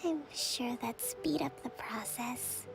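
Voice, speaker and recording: A weary, soft-spoken young priestess. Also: female voice, fairly high pitch, slow, music in the background. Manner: soft, breathy, near-whispered and weary. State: exhausted. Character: gentle young woman priestess and leader, tired. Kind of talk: game voice acting.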